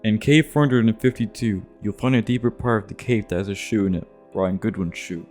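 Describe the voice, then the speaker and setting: Male narrator, lowish pitch, casual scripted gaming commentary, irreverent delivery, guitar music bed. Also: a little fast, a little mellow. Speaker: video essay narrator. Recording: music behind the voice.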